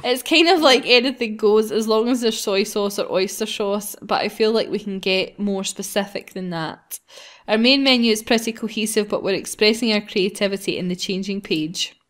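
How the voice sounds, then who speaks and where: A woman's voice: medium pitch, moderate pace, a bit bright. A woman hosting a gaming video, reading a visual novel aloud and breaking into casual banter.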